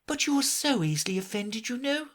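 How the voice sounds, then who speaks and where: A man's voice: extremely high pitch, sing-song, brisk, fairly bright tone, voice pushed up, heavily acted. Man voicing an earnest little girl. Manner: eager, humble, earnest.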